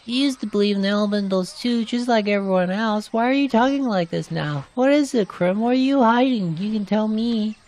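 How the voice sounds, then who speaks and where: A woman's voice: mid-range voice, fairly quick, few pauses, a little mellow, lightly acted. A woman voicing a worried young girl with an earnest, pleading manner in narrated fantasy game dialogue.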